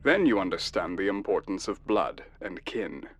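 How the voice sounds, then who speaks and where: A man playing a ruthless human military officer in a science fiction audio drama, sardonic, deliberate and coldly courteous. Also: sits low, lively intonation, fairly bright tone.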